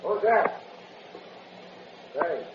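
A fairly high-voiced, puzzled soldier in a radio play. He is earnest, hesitant and folksy, with lively intonation, on a very tinny old recording. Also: very slow, background noise. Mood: puzzled, suspicious. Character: puzzled, earnest male soldier standing sentry. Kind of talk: old-time radio drama acting.

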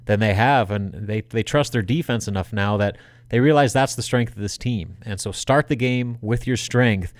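Male co-host for sports talk, lower register, conversational analysis, very little room sound. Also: moderate pace, no echo, studio quality.

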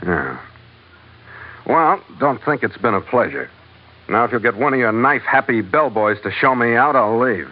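A man's voice: medium pitch, animated, normal speed, slight background noise, close to an everyday voice. A man playing a tough, wry adventurer in old radio drama, with a hard-boiled delivery. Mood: wry defiance. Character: tough, wry adventurer hero narrating his exploits. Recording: dull old radio recording with music.

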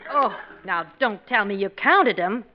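A woman's voice: medium pitch, expressive, measured pace, slight background noise, lightly acted. An actress playing a firm, exasperated middle-aged wife and mother in a 1940s radio sitcom. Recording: dull old radio recording.

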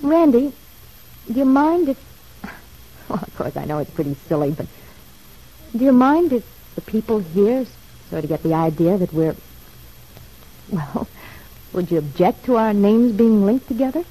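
A woman playing a glamorous, friendly and coy young club performer in an old-time radio soap, husky-voiced. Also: medium pitch, sing-song, measured pace, long pauses, mellow, poor audio. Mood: coy, tentative.

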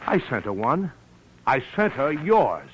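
An actor playing a wronged, quietly vengeful man in a 1940s radio drama, speaking in a deliberate, halting way. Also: medium pitch, sing-song. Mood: bitter, triumphant. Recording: noisy vintage radio drama recording.

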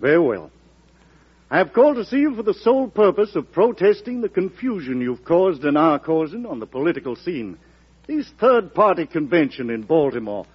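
A man's voice: fairly high pitch, big pitch swings, moderate pace, husky. An actor playing a blunt, threatening senator in a radio drama, formal and curt in his delivery. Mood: indignant, threatening. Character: blunt, threatening male senator, political rival. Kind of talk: 1940s radio drama acting. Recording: dull vintage radio recording.

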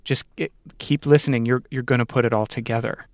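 A man's voice: medium pitch, steady tone, fairly quick, no room sound, studio quality. A man hosting a podcast interview, musing earnestly and reflectively in a conversational way.

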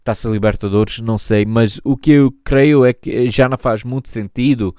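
A man in his thirties with an American accent speaking European Portuguese, hosting a solo podcast and often pausing and restarting as he searches for words. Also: medium pitch, fairly even intonation, a little mellow, smooth, no echo, studio quality. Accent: European Portuguese, spoken by an American. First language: English. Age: 30s. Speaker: podcast host.

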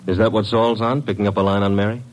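A man's voice: on the low side, fairly even intonation, quick pace, lightly acted, rough recording. An actor playing a cocky, wisecracking detective's legman, wry and slangy, in an old-time radio drama. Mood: skeptical, teasing.

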